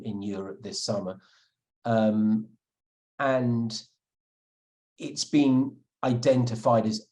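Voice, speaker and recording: A man's voice: lowish voice, a little mellow. A man, an author being interviewed, answering thoughtfully with many restarts and fillers. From England.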